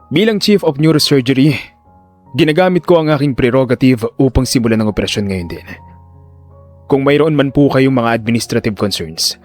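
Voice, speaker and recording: A man's voice: mid-range voice, darkish tone, lightly acted. A man voicing a resolute male neurosurgeon in an audio drama, formal and respectful but firm and defiant.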